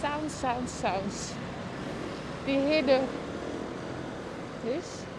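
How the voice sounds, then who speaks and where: High-voiced Dutch woman walking city streets. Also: extremely slow. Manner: chatty, reacts aloud, talks to viewers by name. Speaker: livestream walking-tour host. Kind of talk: casual live walking commentary. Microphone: lapel mic while walking. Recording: street noise behind.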